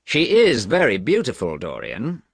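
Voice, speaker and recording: A man playing a blunt gentleman with a dry, mocking tone. The lively voice is read close and dry in a storybook. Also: mid-range voice, measured pace, creaky, slightly rough, no room sound, heavily acted. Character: sardonic gentleman, unkind, blunt.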